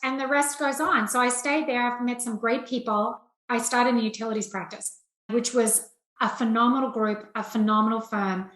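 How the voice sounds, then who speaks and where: A woman's voice: a little high, fairly even intonation, normal speed, fairly bright tone. A middle-aged woman with an Australian accent, speaking candidly and plainly as a business executive being interviewed. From rural Australia. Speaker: interview guest, investment banking executive. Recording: roomy, echoey sound.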